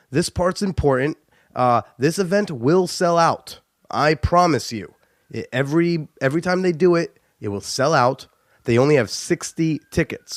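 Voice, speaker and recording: A man's voice: mid-range voice, dry recording, studio quality. A young man, stuffy from a cold, hosting a casual, joking podcast intro with plenty of "uh"s. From United States. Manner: joking and chatty, with frequent filler "uh". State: stuffy nose. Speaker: podcast host.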